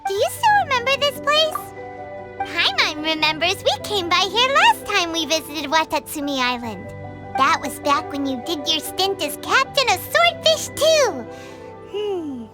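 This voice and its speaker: Very high, bubbly little fairy sidekick, swooping all over; game music under her. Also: big pitch swings, unhurried, exaggerated voice. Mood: curious. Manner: bubbly, talks about herself in third person. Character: tiny floating female fairy companion, chatty. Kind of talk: video game voice acting. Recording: game soundtrack behind.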